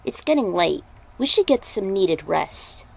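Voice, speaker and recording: A woman voicing a gentle, reassuring young male warrior monk in an amateur story reading. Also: mid-range voice, sing-song, unhurried, creaky, husky, exaggerated voice, poor audio. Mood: soft, reflective.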